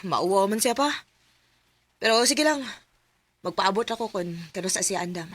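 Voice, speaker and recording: A woman's voice: medium pitch, expressive, normal speed, lightly acted. A woman acting as an earnest young Cebuano daughter. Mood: tender. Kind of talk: radio drama acting.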